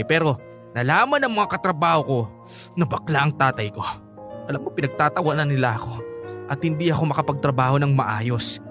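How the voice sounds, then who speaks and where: A man's voice: a little high, close to an everyday voice. A man acting a bitter, hot-headed grown son in a Filipino radio drama, confrontational and sarcastic.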